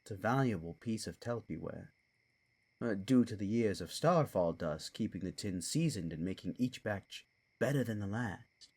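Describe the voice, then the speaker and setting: A man's voice: on the low side, expressive, normal speed, a little mellow, husky. A man playing a folksy, theatrical, wryly doom-laden creature in an audio drama. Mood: gloomy, wry.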